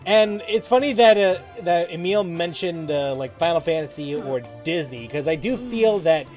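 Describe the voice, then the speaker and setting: A man giving casual live commentary as a gaming streamer, musing informally in a halting way. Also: a little high, expressive, darkish tone, soft background music.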